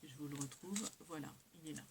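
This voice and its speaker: A woman's voice: low-pitched, fast. A woman chatting casually to her viewers as a craft vlogger, hesitant and rambling, often correcting herself as she goes. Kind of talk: casual haul chat to viewers, showing items. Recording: clear background noise with hiss.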